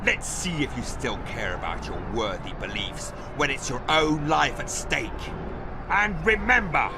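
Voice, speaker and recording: Mocking arena master, slow drawn-out taunts, very animated, gloating, theatrical, game dialogue over music. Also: male voice, on the high side. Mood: mocking menace. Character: gloating, mocking male fight-pit master taunting a captive fighter. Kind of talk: dramatic video game voice acting.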